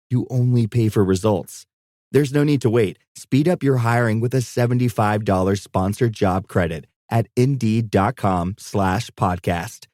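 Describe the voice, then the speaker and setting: A man's voice: on the low side, a little mellow, dry recording, studio quality. A man reading a scripted advertisement as an announcer. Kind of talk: scripted ad read.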